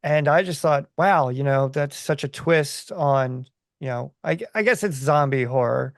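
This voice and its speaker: A man giving his opinions in a casual podcast chat, enthusiastic but halting as he thinks aloud. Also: a little high, no echo, studio quality.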